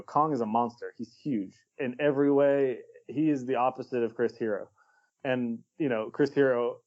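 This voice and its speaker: A man co-hosting a podcast, giving his take in a relaxed, blunt way with casual swearing. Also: medium pitch, muffled recording. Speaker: podcast co-host.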